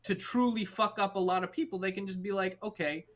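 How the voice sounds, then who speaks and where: A man's voice: high-pitched, steady tone, fairly quick, fairly bright tone. A man in a casual, heated panel debate, insistent and argumentative as he makes his case. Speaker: discussion show panelist.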